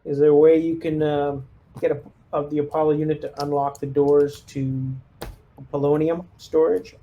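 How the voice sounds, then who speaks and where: A man with a fairly high voice plays a tabletop roleplaying game. He talks in an informal, halting way, working out plans aloud as his character. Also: flat delivery, muffled recording, slight background noise. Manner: informal, hesitant, thinking aloud. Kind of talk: casual in-character table talk.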